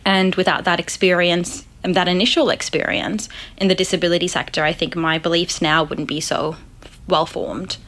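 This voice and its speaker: A young woman with an Australian accent speaks in a lower register than most women. She talks reflectively, with plenty of ums, as a guest psychologist in a relaxed interview. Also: moderate pace. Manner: reflective, with frequent ums and soft laughs. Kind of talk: casual interview chat.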